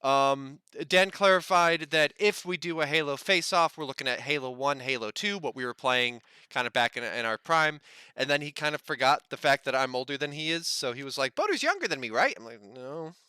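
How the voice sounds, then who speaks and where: A man's voice: on the high side, animated, a little fast, sharp tone, smooth, no room sound. A man co-hosting a gaming podcast, giving news follow-ups in a wry, self-deprecating way. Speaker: podcast co-host. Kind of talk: casual gaming news chat.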